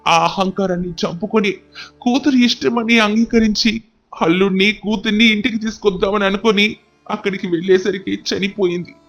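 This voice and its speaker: A man's voice: high voice, fairly even intonation, normal speed, fairly bright tone, highs cut off, faint music behind. A man playing a stern, anguished father and husband, scolding and emotional, in an audio story. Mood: anguished, confessing. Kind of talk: dramatic audio story dialogue.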